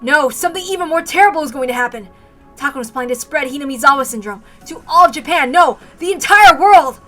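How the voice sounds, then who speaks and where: A woman's voice: high voice, expressive, fast talker, bright, forceful tone, soft background music, pitched above the performer's own voice. A woman voicing an exhausted, earnest male captive in a visual novel, questioning urgently. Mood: alarmed.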